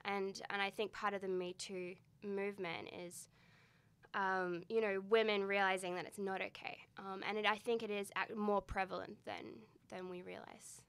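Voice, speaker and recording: A woman in her thirties with a softened Australian accent, a podcast guest chatting in an upbeat, self-deprecating way. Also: medium pitch, level tone, moderate pace, creaky, clean tone. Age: 30s. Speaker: guest, photographer. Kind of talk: casual conversation. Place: small studio indoors.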